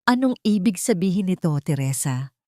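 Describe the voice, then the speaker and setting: A woman's voice: fairly low pitch, sing-song, moderate pace, dark tone, no room sound, clean studio sound. A woman voicing a cruel, haughty elderly matriarch in an audiobook drama, scolding and threatening in an imperious way. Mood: indignant, scornful.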